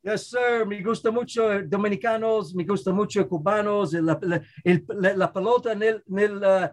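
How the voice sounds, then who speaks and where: A man hosts a podcast in a loose, upbeat way. He rambles and restarts his sentences. His voice sits high for a man. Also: steady tone, a little fast. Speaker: podcast host. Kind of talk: casual chat.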